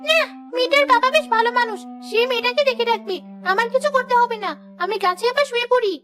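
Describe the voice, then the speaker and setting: Cartoon: kindly tree spirit, woman, soft and concerned, pitched very high. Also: fast talker. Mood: musing. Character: gentle female tree spirit watching over the girl. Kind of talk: cartoon dubbing. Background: background music.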